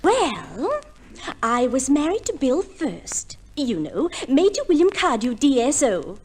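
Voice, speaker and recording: A flighty young society wife, pitched high and very animated. She is vain and genteel, gushing in an affected way, in the manner of old radio comedy. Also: slow pace, slight background noise, full character voice. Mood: gushing, self-satisfied. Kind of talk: 1950s radio comedy acting.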